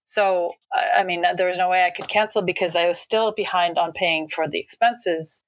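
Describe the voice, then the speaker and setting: A woman's voice: lowish voice, fairly even intonation, normal speed, no room sound. A middle-aged woman with an American accent, a conference organizer, talking casually in an interview with many fillers and restarts. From United States. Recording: dull lo-fi sound.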